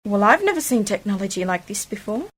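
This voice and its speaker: A woman's voice: medium pitch, very animated, quick pace, slightly rough. A woman playing a curious, sceptical young niece and companion in an amateur science fiction radio play, questioning and cheeky. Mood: curious, wary.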